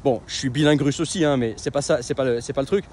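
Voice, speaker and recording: A man's voice: medium pitch, fast talker, darkish tone, slight background noise. A man talking casually in a vlog, with lots of hesitations and restarts. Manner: conversational, many fillers and restarts. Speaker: web entrepreneur and coach.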